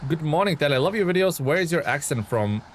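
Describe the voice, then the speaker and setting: A man's voice: fairly high pitch, expressive, quick pace, slight background noise. A man from Lithuania chatting loosely on a live game stream, reading out and answering viewer comments with plenty of fillers. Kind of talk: casual live-stream chat.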